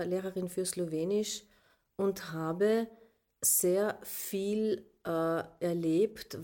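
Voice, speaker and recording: A Carinthian woman. Her voice is mellow and sits a little low. She talks thoughtfully, pausing and restarting often. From Carinthia, Austria. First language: Slovene. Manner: thoughtful, many fillers and restarts. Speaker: teacher, guest. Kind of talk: personal account in interview.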